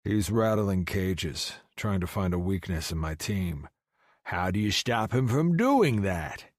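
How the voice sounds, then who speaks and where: Guy as a brooding driven exec narrating an audiobook, low voice, blunt and intense, close dry recording. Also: big pitch swings, slow pace, dry recording, heavily acted. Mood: brooding, determined. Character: Ronan, brooding, driven, hot-tempered male finance executive. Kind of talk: first-person audiobook narration.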